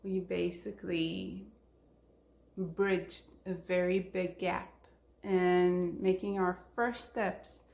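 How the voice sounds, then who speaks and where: Female language teacher, Israeli accent, native Hebrew speaker. Slow, even-pitched delivery with emphasis on key words, in a roomy space. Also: creaky. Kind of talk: instructional talk to camera.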